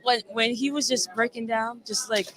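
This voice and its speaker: A woman's voice: on the high side, fairly even intonation, normal speed, a bit bright. A woman audience member talking emphatically and gushingly in a casual on-location interview. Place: on location backstage at a theater.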